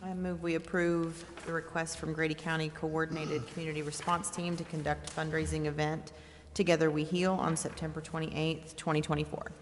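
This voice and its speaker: A woman's voice: deep voice, level tone, brisk. A woman serving as a council member, reading a formal motion. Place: large indoor council chamber. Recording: reverberant hall, faint noise.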